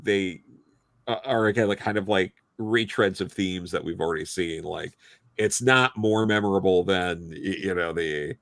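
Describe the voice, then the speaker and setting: A man speaking as a podcast panelist, giving a casual, rambling and halting opinion in a review discussion. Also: deep voice, fairly bright tone, no echo, clean studio sound. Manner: halting, rambling, hedging delivery.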